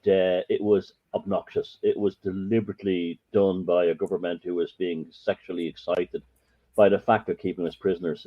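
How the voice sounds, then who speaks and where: An Irish man, a podcast guest, telling stories in a rambling, emphatic way. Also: low voice. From Ireland. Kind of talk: casual storytelling chat. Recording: muffled lo-fi audio.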